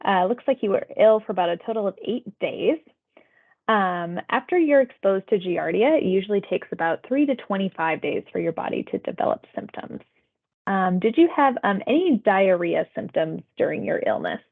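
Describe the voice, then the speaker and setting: A woman's voice: medium pitch, expressive, moderate pace, creaky. A woman working as a public health phone interviewer, asking survey questions in a polite, procedural, conversational way. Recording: tinny phone-like audio.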